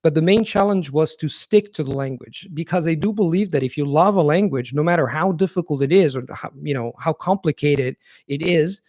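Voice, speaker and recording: A man hosting a live viewer Q&A. His voice sits a little high for a man, and his explanations are earnest and long. The sound is dry and close, with no room echo. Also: fairly quick, darkish tone. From Italy. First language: Italian. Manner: thoughtful and earnest, explaining at length. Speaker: language-learning channel host. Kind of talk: live Q&A, reading viewer questions and answering.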